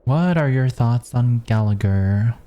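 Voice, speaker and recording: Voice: mid-range voice, lively intonation, a little slow, dark tone, highs cut off. A streamer giving casual reaction commentary on a game, murmuring offhandedly and reading dialogue aloud.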